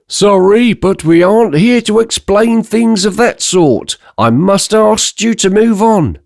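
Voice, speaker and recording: A clipped, officious police inspector, voiced by a man narrating a story, who barks orders to move along. The voice rides high and loud above the narration. Every line is a firm command. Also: animated, takes it slowly, vocal fry, dry recording, studio quality, exaggerated voice. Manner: curt, commanding.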